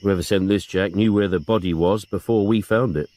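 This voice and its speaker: A steady, matter-of-fact policeman, as a male narrator does him, speaking plainly in a slightly lowered voice with no fuss. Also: fairly quick, close to an everyday voice.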